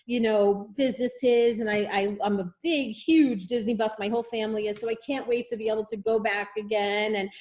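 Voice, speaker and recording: A woman's voice: mid-range voice, steady tone, fairly quick, few pauses, a little mellow. A woman with a New York accent, a guest chatting on a video call, emphatic and given to repeating herself. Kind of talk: casual video call chat.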